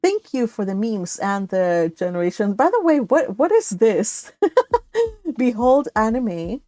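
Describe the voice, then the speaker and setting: A millennial woman hosting a book read-along livestream, chatting casually with viewers in an animated, giggly, exclaiming way. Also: on the high side, slow, no echo, studio quality. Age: 30s to early 40s. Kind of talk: casual live chat with viewers.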